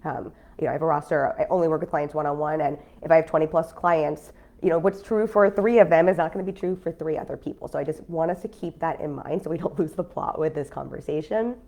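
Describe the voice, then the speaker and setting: A woman's voice: deep voice, fast, gravelly, darkish tone. Nasal, stuffy-voiced woman coach. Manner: chatty, frank, many fillers. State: congested from a cold, nasal. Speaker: business coach and podcast host. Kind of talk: casual live-stream talk.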